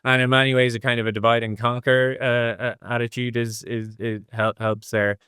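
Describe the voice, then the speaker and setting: A middle-aged man with a slightly low, smooth voice talks casually as an interview guest. He thinks aloud, circling through long explanations with hedges along the way. The sound is dry and clean. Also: little pitch movement, moderate pace, pushed and bright, no room sound. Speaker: interview guest, design executive.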